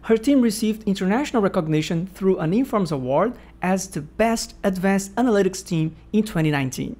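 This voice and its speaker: A man's voice: high-pitched, lively intonation, a little fast, a little mellow. A man hosting an interview, reading a formal guest introduction and then asking friendly, encouraging questions. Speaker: interview host. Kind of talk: podcast interview.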